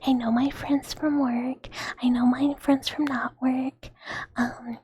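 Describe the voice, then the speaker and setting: A woman's voice: high voice, level tone, takes it slowly, dark tone, slight background noise. A woman in her thirties, whispering and giggling through a casual livestream chat as she answers comments from viewers. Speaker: streamer.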